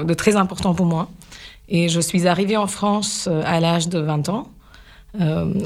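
A woman's voice: on the low side, a little mellow. A woman, a Gujarati speaker, answering questions as a radio guest in a thoughtful way, stopping to find words. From India. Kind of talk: radio interview.

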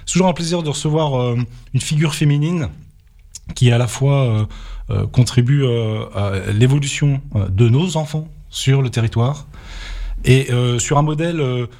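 A man hosting a local radio interview, talking in a hesitant way with many pauses and restarts into a studio microphone. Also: mid-range voice, mellow. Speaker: radio show host.

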